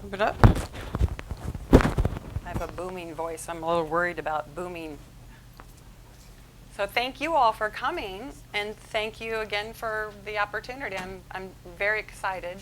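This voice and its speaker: A woman speaker at a conference, talking into a mic in a meeting room with faint background noise. She is lively and chatty, and she often breaks off and restarts her sentences. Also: medium pitch, normal speed, long pauses, rough recording. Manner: energetic, chatty, self-interrupting. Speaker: guest speaker, psychologist. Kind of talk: conference presentation. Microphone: handheld mic in a room.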